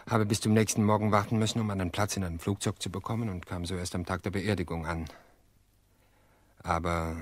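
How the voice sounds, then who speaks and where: A low, deep voice, close and dry, for a middle-aged widower in an audio drama. He speaks earnestly and wearily, weighing his words with reflective pauses. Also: dry recording. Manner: measured, reflective, hesitant pauses. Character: weary, earnest widower confessing to his sister-in-law. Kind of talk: radio play dialogue.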